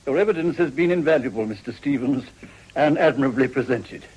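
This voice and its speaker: Actor as a husky, courteous senior official. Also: male voice, medium pitch, brisk, darkish tone, close to an everyday voice, low-quality recording. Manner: formal, courteous, decisive. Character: courteous, authoritative senior official chairing a meeting. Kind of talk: period radio drama acting.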